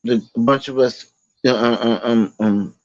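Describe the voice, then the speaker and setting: A man, a guest telling his story slowly in a casual interview, halting with many fillers and restarts. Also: mid-range voice, steady tone, no echo, lo-fi sound. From Boston, Massachusetts. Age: 40s.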